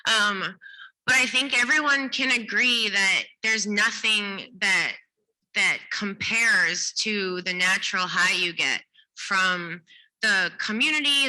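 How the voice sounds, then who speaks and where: A woman's voice: mid-range voice, sharp tone, low-quality recording. An enthusiastic, earnest woman from Canada, middle-aged, answering questions at a press briefing. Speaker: event ambassador.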